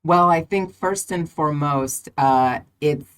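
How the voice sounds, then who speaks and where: An American woman with a strikingly low voice talks as a guest on a podcast about her teaching work. She answers in a friendly, halting way, with plenty of "um" and "uh" and easy laughs. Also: clean tone. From United States. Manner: friendly, laughs often, halting with many fillers.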